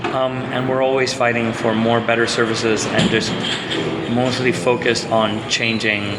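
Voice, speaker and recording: Guy from puerto rico, interview guest, lots of ums and restarts, loud noise behind him. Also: mid-range voice, level tone, unhurried. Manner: earnest, restarts and "um"s. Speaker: guest, social psychologist. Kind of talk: conversational interview.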